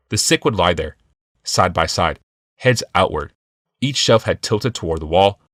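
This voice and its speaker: A man's voice: deep voice, dry recording, clean studio sound. A man reading a scripted fantasy audiobook as its narrator.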